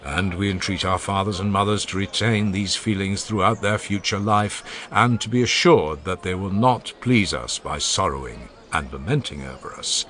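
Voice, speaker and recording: A man's voice: low voice, normal speed, few pauses, a bit bright. A man voicing a grave, eloquent older male philosopher in a dramatized audiobook, delivering a solemn, declamatory oration. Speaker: Socrates.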